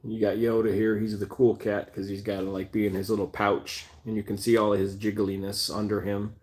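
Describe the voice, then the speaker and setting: A man's voice: fairly low pitch, level tone, brisk, a little mellow. A man in his forties hosting a casual cat livestream, rambling with fillers and restarts and talking to his cats between viewer comments. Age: 40s. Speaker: cat channel livestream host.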